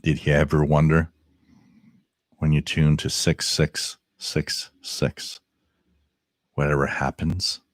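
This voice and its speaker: A man putting on a spooky voice from beyond the grave, in a slow, ominous drawl. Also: very deep voice, little pitch movement, no echo, studio quality, pitched below the performer's own voice.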